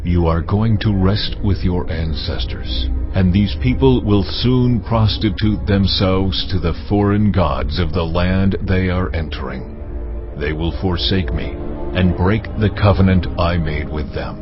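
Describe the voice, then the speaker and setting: A stern male deity in an audio scripture drama, with a very deep voice. He speaks slowly, with grave and ominous authority. Music runs clearly beneath him. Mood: stern, foreboding. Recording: muffled audio with music underneath.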